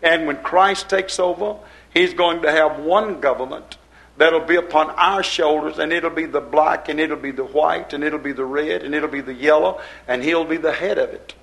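A man's voice: a little high, moderate pace, gravelly, fairly bright tone, poor audio. An older man preaching a sermon to his congregation in a folksy, rambling way, repeating his phrases. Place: church service before a congregation.

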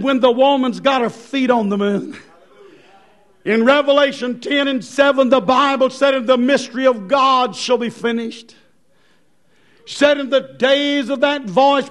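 An American man preaching a fervent revivalist sermon, impassioned and emphatic, repeating phrases and rhetorical questions. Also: very high-pitched.

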